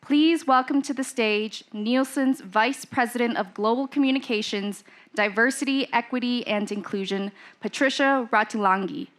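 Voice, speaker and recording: Woman announcer, slow formal stage call. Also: a little high, slight background noise. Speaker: event announcer.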